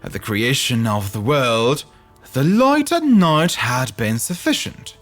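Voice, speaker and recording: A man narrating a scripted fairy tale in a theatrical, deliberate storytelling manner, as a podcast host would. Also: mid-range voice, sing-song, fairly bright tone, soft background music.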